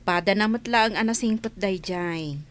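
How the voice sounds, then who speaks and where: An actress playing a kindly, helpful religious sister in a radio drama. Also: female voice, mid-range voice, slow, close to an everyday voice.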